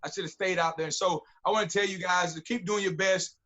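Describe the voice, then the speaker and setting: High-voiced male motivational coach in his forties. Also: fairly even intonation, quick pace, bright, forceful tone, dull audio. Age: 40s. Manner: preacherly, rhythmic repetition, direct exhortation. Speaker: guest, football coach and motivational speaker. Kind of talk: motivational pep talk.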